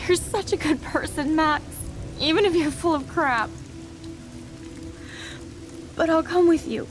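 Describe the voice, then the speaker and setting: A woman's voice: sits high, unhurried. A woman playing a despairing, hurt teenage schoolgirl in a dramatic video game. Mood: wary, faint hope. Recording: game music and rain behind.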